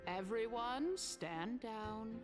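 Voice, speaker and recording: An actress voicing a composed, courtly female politician in a fantasy video game, speaking in formal, measured oratory with veiled menace. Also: a little high, animated, over music.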